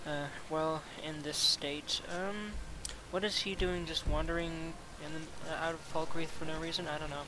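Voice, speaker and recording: A young man with a raspy voice from a cold, chatting casually and self-deprecatingly while commenting on his gameplay. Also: on the high side, fairly even intonation, moderate pace, few pauses, noisy background. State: has a cold. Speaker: let's play commentator.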